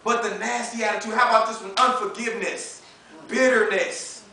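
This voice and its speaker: An American man in his thirties preaches with a very high, bright voice. His delivery is emphatic and conversational, leaning on everyday slang and rhetorical questions. The recording is heavily echoed, as if the mic sits some way off in a large room. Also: steady tone, fairly quick, vocal fry. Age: 30s. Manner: emphatic, colloquial, uses rhetorical questions and acted-out dialogue. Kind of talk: animated, conversational sermon. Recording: echoey room, distant mic, faint noise.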